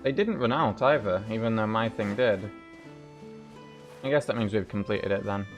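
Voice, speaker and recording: Male streamer, fairly low register, casual play-by-play with easy swearing, game music behind. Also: normal speed. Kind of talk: casual gameplay commentary.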